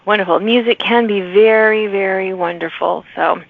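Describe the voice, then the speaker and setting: A woman's voice: medium pitch, moderate pace, gravelly. A middle-aged woman hosting a conversational interview, speaking in an encouraging, affirming way. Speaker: podcast host and writer. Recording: tinny band-limited phone-like line.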